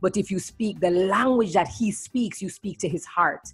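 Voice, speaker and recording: A woman host, chatting casually in a lively way and now and then slipping into playful patois. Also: medium pitch, normal speed, breathy edge, soft background music. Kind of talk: casual chat.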